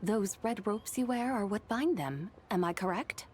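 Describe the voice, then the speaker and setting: Woman as animated, courtly mystical adept. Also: mid-range voice, slight background noise, lightly acted. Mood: solemn, knowing. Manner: formal, archaic, measured phrasing. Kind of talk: video game character acting. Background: game music.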